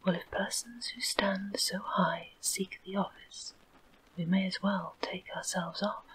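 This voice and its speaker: A woman voicing a proud, gossipy herring's head in a soft whisper. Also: medium pitch, steady tone, measured pace, husky, low-quality recording.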